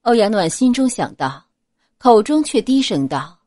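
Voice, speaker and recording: A woman narrates a novel in an expressive, dramatic storytelling style. Her intonation is lively and varied, and the recording is close and dry. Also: on the high side, measured pace, no room sound. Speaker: audiobook narrator.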